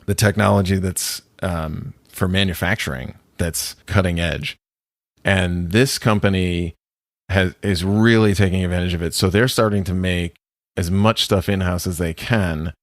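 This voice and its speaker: Low-voiced, relaxed male co-host; chatty, loose, dry booth sound. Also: no echo. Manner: chatty, rambling, laughs often. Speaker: podcast co-host. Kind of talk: casual chat about watches.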